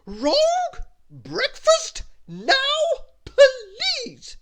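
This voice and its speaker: A woman's voice: extremely high pitch, huge pitch swings, normal speed, voice pushed up, exaggerated voice. A woman voicing a bossy, domineering little female storybook character who shrieks and barks orders. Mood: shouting, bossy.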